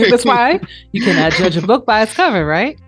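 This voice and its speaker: A woman's voice: fairly high pitch, very animated, a little slow, a bit bright, slightly rough, dry recording, soft background music. A woman hosting a podcast, chatting casually as she interviews guests. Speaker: podcast host.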